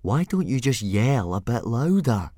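A man's voice: medium pitch, sing-song, unhurried, mellow, no echo, clean studio sound, pitched above the performer's own voice. Man doing a drawling boy sidekick. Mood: dry.

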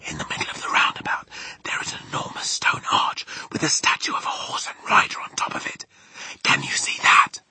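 A man voicing a bold, determined little girl in a storybook, speaking in an urgent, breathy whisper. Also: medium pitch, wildly swooping pitch, normal speed, few pauses, heavy background noise, voice pushed up. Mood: urgent commanding. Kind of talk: storybook character voice.

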